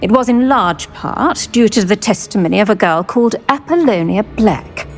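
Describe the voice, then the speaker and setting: An actress playing an earnest, retired British investigator in a video game, measured and articulate in manner. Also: female voice, medium pitch, animated, faint music behind, close to an everyday voice. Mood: earnest, concerned. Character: earnest, keen retired female investigator, older. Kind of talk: video game character acting.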